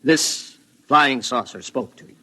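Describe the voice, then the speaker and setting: A man's voice: medium pitch, a little slow, pushed and bright, slightly rough, rough recording. A man playing a brusque, skeptical police sergeant in an old-time radio drama, curt and sarcastic. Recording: vintage radio drama recording.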